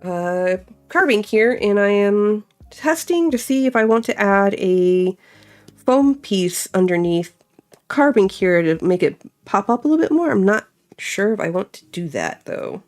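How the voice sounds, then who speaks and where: A woman's voice: medium pitch, animated, measured pace, soft background music. A young woman chatting casually as she crafts, thinking aloud and filling her talk with "like.". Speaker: crafting vlogger. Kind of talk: casual chat while crafting.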